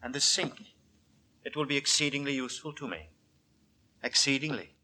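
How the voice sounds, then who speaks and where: An actor playing a weary, secretive, quietly menacing gentleman lodger in a formal, halting, repetitive manner, in 1940s radio horror style. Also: medium pitch, lively intonation, a little hiss or hum. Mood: pleased, intense. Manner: formal, halting, repeats his words. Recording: vintage 1940s radio broadcast recording.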